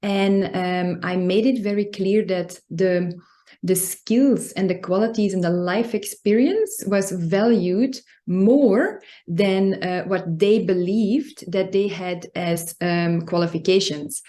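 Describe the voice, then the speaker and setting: A woman from Belgium, speaking as a language, gives an informal talk in an earnest, explanatory way with frequent pauses. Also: fairly low pitch, mellow. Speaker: guest speaker, coach and club secretary. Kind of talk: informal prepared talk on workplace values.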